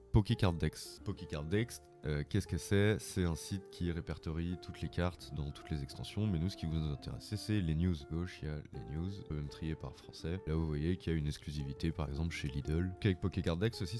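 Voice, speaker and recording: A man with a cold, talking in an informal, rambling way in a casual video tutorial. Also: very deep voice. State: has a cold. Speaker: vlogger. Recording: music playing behind the voice.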